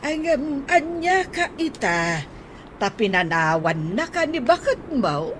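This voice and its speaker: A woman's voice: high-pitched, very animated, full character voice. A woman playing a plain-spoken neighbour who chides and advises, in a radio drama, with an Ilocano accent. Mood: admonishing. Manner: chiding, measured, emphatic. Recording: noisy, outdoor-like ambience behind.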